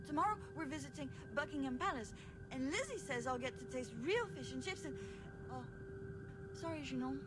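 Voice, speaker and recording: An actress playing an eager, sensitive sister in a narrative game, with an expressive, emotionally open delivery. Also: female voice, sits high, brisk, background noise. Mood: excited. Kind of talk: naturalistic game dialogue acting. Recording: music under the voice.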